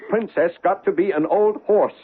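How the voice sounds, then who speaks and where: An actor playing a tormented, controlling fiancé in an intense, melodramatic 1970s radio drama style. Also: male voice, sits high, moderate pace, slightly rough. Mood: fierce insistence. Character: George, anguished, controlling fiancé narrating his own torment. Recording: noisy, tinny vintage radio broadcast.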